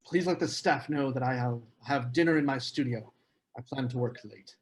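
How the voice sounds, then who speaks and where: A proud and earnest male writer in a literary period drama, played by a man. His voice is medium in pitch. He speaks in formal, sincere phrases. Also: normal speed, lightly acted. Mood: subdued. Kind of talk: screenplay table read, period literary drama.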